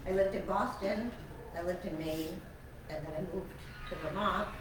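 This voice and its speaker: A woman's voice: lowish voice, normal speed. Older lady telling stories to a crowd, chatty and emotional, far from the mic, big echo. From born in Alabama, raised on Long Island. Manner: chatty, emotional, self-deprecating. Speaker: cattle farmer, retired teacher. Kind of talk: personal storytelling to an audience. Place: large meeting hall. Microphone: far room mic. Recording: echoey hall from far off, noisy.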